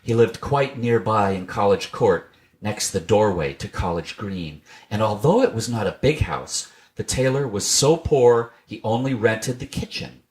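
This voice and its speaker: A man's voice: lowish voice, measured pace, a little mellow. A man reading a children's tale aloud as scripted storybook narration. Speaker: storybook reader.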